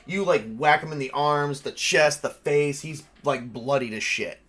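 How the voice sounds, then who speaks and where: Sweary, higher-voiced man running a tabletop game. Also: measured pace. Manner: sweary, excitable. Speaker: game master and player of a tabletop RPG. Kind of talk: casual tabletop game play, narrating action and rules.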